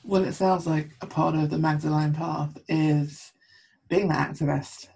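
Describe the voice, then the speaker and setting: A woman hosts a relaxed conversation, reflecting and summing up what her guest says. Her voice is husky, with a gravelly edge. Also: sits low, unhurried, rough recording. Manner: reflective, sums up the guest's points. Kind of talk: casual interview chat.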